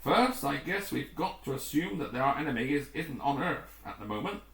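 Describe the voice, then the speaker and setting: A man's voice: fairly high pitch, very animated, moderate pace, vocal fry, fairly bright tone, heavily acted. A man voicing a practical, decisive rescue team leader in a storybook, with a businesslike manner. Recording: roomy, echoing room.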